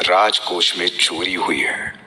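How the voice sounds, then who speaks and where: A man's voice: low-pitched, fairly even intonation, measured pace, poor audio. A man playing a crafty, composed royal minister in a historical audio drama, speaking formally and persuasively. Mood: secretive, grave.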